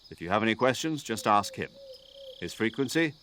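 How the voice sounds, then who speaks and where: A man voicing an older military commander in a video game, precise and drily good-humoured, articulate and measured as he briefs an agent. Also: fairly low pitch, animated, sharp tone, slight background noise. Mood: businesslike, grave. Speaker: mission commander giving a radio briefing.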